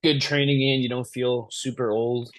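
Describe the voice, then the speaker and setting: A man's voice: mid-range voice, level tone, normal speed, dry recording. A young man hosting a podcast, chatting casually and asking questions over a video call. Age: young adult. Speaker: podcast host. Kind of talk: casual interview chat.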